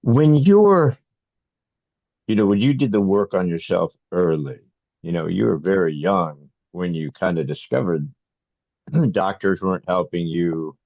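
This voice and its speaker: Deep-voiced man in a roomy space. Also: animated, unhurried, vocal fry. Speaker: podcast host. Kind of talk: conversational interviewing. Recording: dull, roomy sound.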